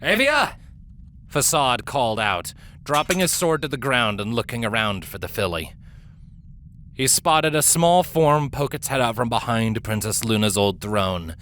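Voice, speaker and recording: A man reading fiction aloud as a dramatic story narrator, with theatrical, deliberate emphasis. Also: lowish voice, lively intonation, fairly bright tone. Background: background music.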